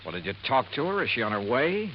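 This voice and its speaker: Hard-boiled radio detective played by a man, animated delivery. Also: medium pitch, fast, slight background noise, lightly acted. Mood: probing, measured. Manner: clipped, hard-boiled, probing. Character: sharp, steady male insurance investigator, hard-boiled narrator. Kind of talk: 1950s radio detective acting and narration. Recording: muffled vintage radio recording, music underneath.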